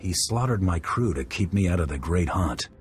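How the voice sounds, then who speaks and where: A hard-bitten bounty hunter drawls short, dry comebacks in a game scene. The voice sits extremely low and cocky. Faint music runs underneath. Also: male voice, animated, moderate pace. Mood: deadpan. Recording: music behind.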